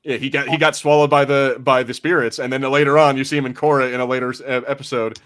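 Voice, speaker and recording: A man's voice: mid-range voice, little pitch movement, fast talker, a bit bright. A man hosting a podcast in casual fan chat, informal and sweary, thinking aloud as he goes. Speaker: podcast host.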